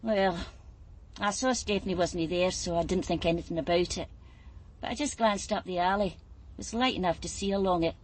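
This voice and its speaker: A woman's voice: fairly low pitch, brisk, close to an everyday voice, rough recording. An actress playing a weary, shaken Glasgow streetwalker and mother in a radio drama, chatty and blunt, with a Glaswegian accent. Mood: chatty, uneasy. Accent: Glaswegian Scottish. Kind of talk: naturalistic radio drama acting.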